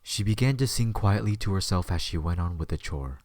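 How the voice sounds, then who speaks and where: A man's voice: sits low, animated, a little fast, darkish tone, no echo. A man narrating a scripted story as the narrator of an audio drama.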